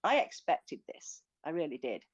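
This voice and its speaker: A woman's voice: low-pitched, sing-song, moderate pace, husky, dry recording, highs cut off. A woman from Greece with a British accent, chatting in an online audio room, often laughing and restarting her sentences. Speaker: guest speaker. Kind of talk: casual online audio chat.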